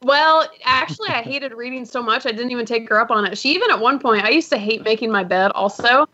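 A woman's voice: on the high side, fast talker, bright, forceful tone. A young adult woman from the US South, a podcast guest talking casually and laughing often at herself. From Georgia, US South. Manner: chatty, laughs often, self-deprecating. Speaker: podcast guest, business founder. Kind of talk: casual interview talk. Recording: muffled lo-fi audio.

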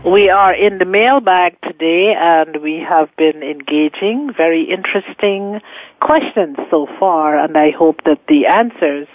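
A woman from Guyana hosting a radio spirituality course, speaking off the cuff in a deliberate, measured way with emphatic pauses. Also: lowish voice, dry recording, faint music behind. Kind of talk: unscripted radio talk answering listener questions. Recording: phone-like, narrow-band radio line.

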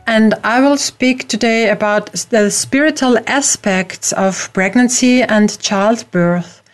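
A middle-aged woman from Austria with an Austrian German accent, hosting a radio talk show in slow, hesitant speech with many fillers. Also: mid-range voice.

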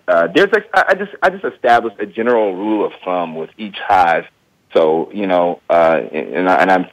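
Relaxed Midwestern man with a very low voice, thinks out loud as a guest, dry close recording. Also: dry recording, poor audio. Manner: relaxed, thinks aloud with frequent hedges. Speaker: guest beekeeper and small business owner. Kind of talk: casual interview answers.

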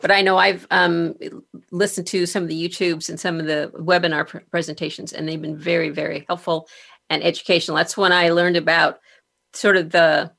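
Woman, lowish pitch, creaky fry, encouraging podcast host, conversational. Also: level tone, fairly quick, fairly bright tone. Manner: encouraging, appreciative. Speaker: podcast host and career author. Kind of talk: conversational interview hosting.

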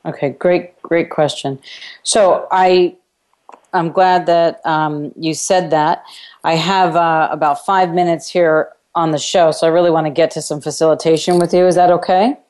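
A woman's voice: sits low, steady tone, moderate pace, a little mellow. A woman hosting a radio call-in show, coaching a caller in an encouraging, affirming way with probing questions. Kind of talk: live coaching conversation with a caller.

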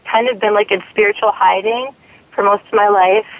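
A woman from South Carolina calling a radio show, hoarse from a cold, asking her question haltingly with many ums and likes. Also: medium pitch, steady tone. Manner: hesitant, lots of likes and ums. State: has a cold, losing her voice. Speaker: caller. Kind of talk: phone-in question. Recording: tinny dry phone line.

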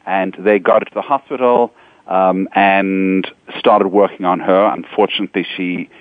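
Man, South African accent, middle-aged, very low voice, earnest, measured, um-filled, tinny phone-like sound. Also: steady tone, no echo. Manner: earnest, measured, frequent ums. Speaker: nonprofit executive, guest. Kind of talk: interview answers, personal story. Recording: dry, phone-like narrow sound.

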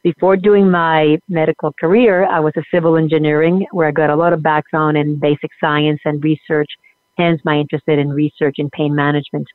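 A woman's voice: low-pitched, no room sound. Woman neurologist on a tinny phone line. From Puerto Rico. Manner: relaxed, with frequent "uh" pauses. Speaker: guest neurologist. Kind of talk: interview storytelling.

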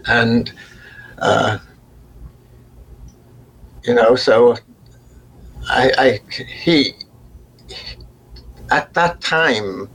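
An older man from England with a faint Liverpool accent, a radio interview guest who talks slowly and haltingly with many restarts. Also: on the low side, creaky, a bit bright, rough recording. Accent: faint Liverpool, mostly softened. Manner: slow and halting, with restarts and fillers. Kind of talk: reflective interview talk.

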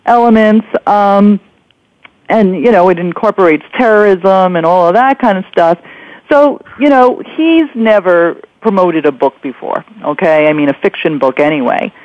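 A woman's voice: medium pitch, normal speed, no echo. A woman radio host with a chatty, rambling way of interviewing. She talks conversationally and leans on "you know" as she goes. The sound is dry and slightly dull, like lo-fi radio.

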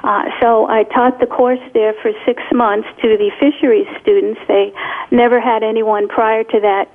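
A woman's voice: on the high side, level tone. A woman whose first language is Polish, a retired professor explaining her research as a guest in an interview. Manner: explanatory, measured lecturing. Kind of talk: radio interview answers. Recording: tinny phone line.

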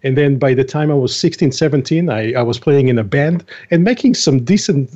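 A man's voice: medium pitch, a little fast. Older man telling his story. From Israel. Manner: relaxed storytelling with frequent fillers and small laughs. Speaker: guest. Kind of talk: interview conversation. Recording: dull lo-fi audio.

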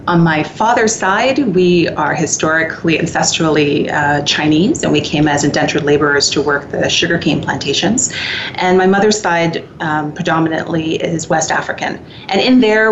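A middle-aged woman from Trinidad and Tobago giving measured, articulate answers as an interview guest. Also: low voice, highs cut off, a little hiss or hum. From Trinidad and Tobago, moved to Canada as a child.

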